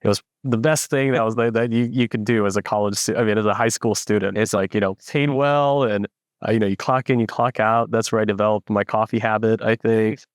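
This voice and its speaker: A young man from the Philippines, a startup founder, telling his story in a relaxed interview with lots of fillers and restarts. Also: on the low side, fairly quick, no echo, clean studio sound. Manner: reflective, many fillers and restarts. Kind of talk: casual interview.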